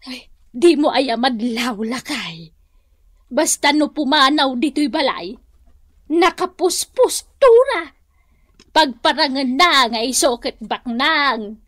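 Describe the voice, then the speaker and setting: A woman's voice: sits high, a bit bright, no room sound, studio quality, exaggerated voice. A woman with a Filipino accent playing a meek, hurt middle-aged mother in a radio drama, speaking hesitantly. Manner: plaintive, hesitant. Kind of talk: Ilocano radio drama acting.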